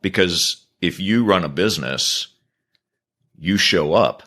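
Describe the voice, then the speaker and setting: A man answering as a guest on a business podcast, earnest and measured, building his points in repeated phrases. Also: sits low, animated, sharp tone.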